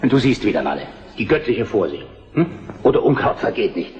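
Low-voiced, unruffled Prussian statesman. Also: sing-song, a little fast, a little mellow, long reverb tail, muffled recording. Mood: dry, unruffled. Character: calm, confident male Prussian statesman, middle-aged or older. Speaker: actor. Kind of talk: German historical radio drama acting.

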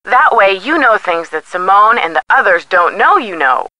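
A woman's voice: mid-range voice, sing-song, moderate pace, bright, forceful tone, no echo, rough recording. An actress playing a detective's chatty, witty young female friend in a video game, with a playful, teasing manner. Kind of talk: scripted video game dialogue.

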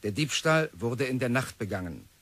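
A formal news bulletin. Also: male voice, medium pitch, expressive, moderate pace, fairly bright tone. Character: formal male newspaper voice, crisp. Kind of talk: read-out news report in radio drama.

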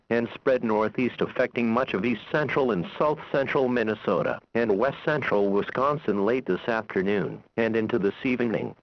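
A man's voice: mid-range voice, no room sound. Dull lo-fi radio weather announcer, male. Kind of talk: scripted weather forecast bulletin.

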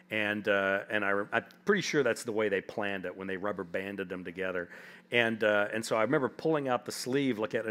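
A man in his fifties with a fairly low voice spins long, humorous anecdotes as a festival guest. He rambles easily, padding his stories with "like" and "you know", speaking from an indoor stage before a seated audience. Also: a bit bright. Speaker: author guest.

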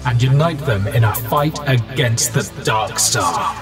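A man's voice: medium pitch, a little slow, far from the mic, music in the background, lightly acted. A man narrating a science fiction audio series in a dramatic, scripted style.

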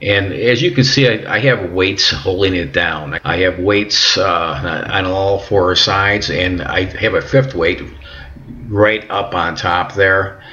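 A middle-aged man giving calm, patient step-by-step instructions at home, often repeating words and checking in with "okay". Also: low voice, slow pace, lo-fi sound. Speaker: instructor demonstrating decal application. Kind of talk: step-by-step how-to narration. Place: home kitchen and workbench indoors.

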